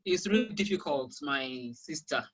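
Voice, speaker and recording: Bright, emphatic Nigerian-accented teacher. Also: medium pitch, lively intonation, normal speed, breathy edge, highs cut off. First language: Yoruba. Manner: emphatic, repeats key phrases. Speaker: guest teacher of English. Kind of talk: candid interview answers.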